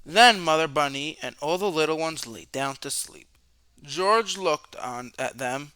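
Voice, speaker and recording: A man with a fairly high, very bright voice reads a picture book aloud to young children. His sound is clear and smooth, and he tells it expressively, stretching words and shaping questions with drama. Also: expressive, measured pace, no room sound, rough recording.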